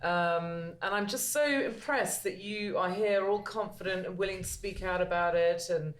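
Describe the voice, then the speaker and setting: A woman's voice: fairly low pitch, poor audio. Encouraging woman asking questions. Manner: encouraging, inquisitive, quick questions. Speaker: co-host and dyslexia teacher. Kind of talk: casual podcast interview.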